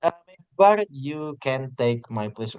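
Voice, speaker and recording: A man's voice: mid-range voice, expressive, takes it slowly, darkish tone, smooth, dry recording. A man moderating an online teaching webinar, introducing the guest with many fillers and restarts. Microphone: video call. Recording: dull, dry online call audio.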